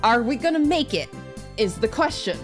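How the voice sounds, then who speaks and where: A woman streamer chatting casually through a game, expressive and giggly, often swearing and interrupting herself. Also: on the high side, moderate pace, soft background music. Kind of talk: live visual novel playthrough commentary, casual chat.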